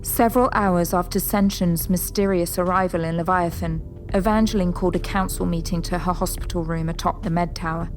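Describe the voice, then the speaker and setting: A woman's voice: on the low side, fairly even intonation, brisk, poor audio. A woman giving scripted dramatic narration for an audio drama story. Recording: prominent music bed behind.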